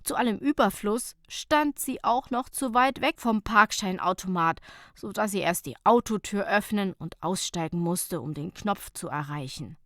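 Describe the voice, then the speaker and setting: A woman's voice: medium pitch, normal speed, no room sound, clean studio sound. A woman narrating a light, humorous short story as an audiobook reader, in a scripted reading style.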